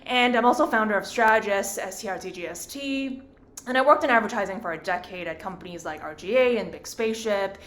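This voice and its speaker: A woman's voice: medium pitch, brisk, a bit bright. Upbeat woman moderating a panel. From Hong Kong. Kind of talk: hosting a virtual panel.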